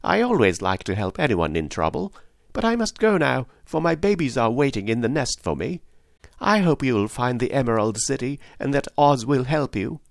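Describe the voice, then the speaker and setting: Brisk kindly mother stork, man reading, voice a bit raised, dry close sound. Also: medium pitch, sing-song, gravelly, dry recording, voice pushed up.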